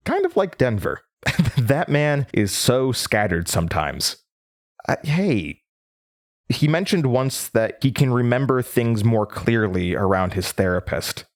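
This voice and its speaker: A man's voice: medium pitch, big pitch swings, measured pace. An actor playing a nervous, earnest male researcher, narrating horror audio drama in a hesitant, stammering, confiding way. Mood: uneasy, reflective. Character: nervous, earnest male horror-radio researcher recounting his case notes.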